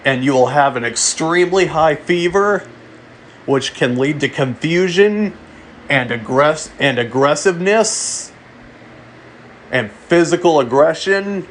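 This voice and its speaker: A man delivering a mock emergency alert in a halting way, often repeating and restarting his phrases. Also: a little high, expressive, fairly bright tone, a little hiss or hum. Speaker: amateur narrator of a fictional emergency broadcast. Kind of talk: mock emergency alert announcement, semi-improvised.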